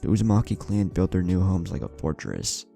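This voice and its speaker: A man narrating a scripted story in a measured, dramatic storytelling manner. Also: deep voice, expressive, creaky, warm tone, soft background music.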